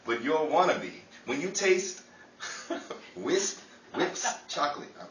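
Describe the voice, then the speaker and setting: A man, a radio play actor, chatting casually and reading ad lines, recorded from a distance amid loud noise. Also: fairly high pitch, very animated, takes it slowly. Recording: echoey distant mic, loud crowd noise.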